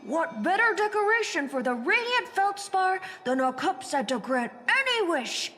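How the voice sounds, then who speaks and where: A woman's voice: very high-pitched, sing-song, normal speed, pushed and bright, voice pushed up, full character voice. An actress voicing a jolly, pompous old male tycoon with a hearty, grandiose delivery, in a reverberant game recording. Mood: jovial, pompous.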